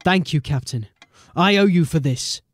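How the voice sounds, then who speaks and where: A man playing a determined, embattled police sergeant in a dramatic audio drama, speaking earnestly and deliberately. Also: on the high side, lively intonation, dry recording. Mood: earnest pleading.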